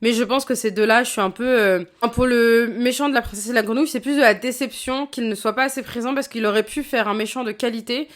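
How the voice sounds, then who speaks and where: A woman in her late twenties talks straight to her viewers in a casual vlog. Her voice sits a little high, and she answers frankly, with plenty of fillers and restarts. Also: fairly even intonation, fast, hardly any pauses. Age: late 20s. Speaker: YouTuber.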